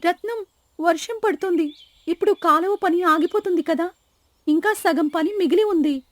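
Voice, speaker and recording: A woman doing a young village girl helper, really high expressive voice, plaintive, storybook style. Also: moderate pace. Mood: tired, worried. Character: young village woman, tired, worried but loyal helper. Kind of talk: animated storybook character acting.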